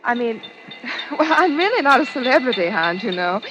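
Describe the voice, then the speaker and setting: A woman's voice: fairly high pitch, very animated, normal speed. An actress playing an eager, trusting young woman in an old radio drama, chatty, polite and girlish. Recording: dull, hissy old radio recording.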